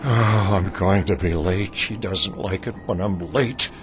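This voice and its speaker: Fretful, lovestruck older rich husband, low-pitched. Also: male voice, unhurried, music in the background. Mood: impatient, fretful. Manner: fretful, pleading. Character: anxious, impatient, lovestruck wealthy older husband. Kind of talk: radio drama acting.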